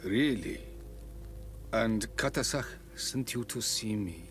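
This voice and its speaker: An actor playing a solemn, testing spiritual leader of an island people in a video game, speaking in a deliberate, ceremonious way. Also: male voice, on the low side, lively intonation, fairly bright tone, soft background music, exaggerated voice. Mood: solemn, measured. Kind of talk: video game dialogue acting.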